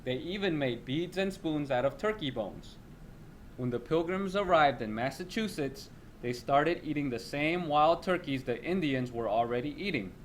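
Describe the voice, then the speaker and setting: A man's voice: fairly high pitch, expressive, moderate pace, slight background noise. A man reading a children's nonfiction text aloud as a teacher.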